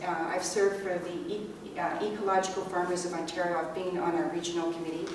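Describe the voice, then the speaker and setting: A middle-aged Canadian woman gives an unscripted campaign speech as an election candidate, conversational and halting, repeating words as she goes. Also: fairly low pitch. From rural Canada. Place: indoor public meeting room. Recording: echoey room, distant mic, faint noise.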